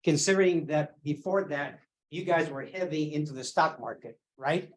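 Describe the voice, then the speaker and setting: A man's voice: a little high, poor audio. Earnest man running a sales meeting. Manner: earnest, encouraging, halting. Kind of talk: casual meeting talk. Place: office meeting room.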